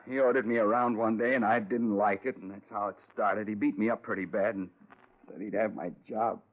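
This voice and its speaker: An American actor playing a nervous, defensive ground crewman under questioning, halting and anxious, in 1950s radio drama. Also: lowish voice, fairly even intonation, natural voice. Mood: anxious, confessing. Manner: halting, anxious, stammering repetitions. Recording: thin, narrow-band old radio recording.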